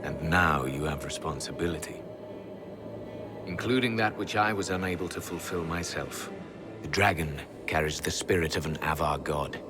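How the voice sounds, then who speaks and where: An actor playing a regretful, dignified old inquisitor, speaking in a solemn, measured, confessional way in a video game. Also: male voice, a bass voice, over music. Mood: weary, grave.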